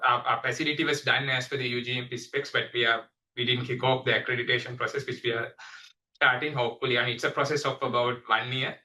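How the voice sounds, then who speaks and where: A man with a Sri Lankan accent answering on an earnings call as a business executive, halting and repeating phrases as he goes. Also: mid-range voice, steady tone, sharp tone, far from the mic, poor audio.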